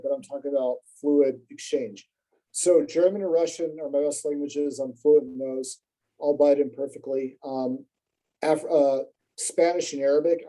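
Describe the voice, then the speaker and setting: A man's voice: a little high, mellow, poor audio. A man speaking as a podcast guest, telling long rambling stories in a casual, self-deprecating way with many fillers and restarts. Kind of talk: casual conversational storytelling.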